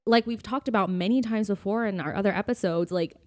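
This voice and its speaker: A woman's voice: medium pitch, quick pace, a crowd in the background. A woman co-hosting a podcast in casual conversation, speaking in an emphatic, opinionated and informal way. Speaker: podcast co-host.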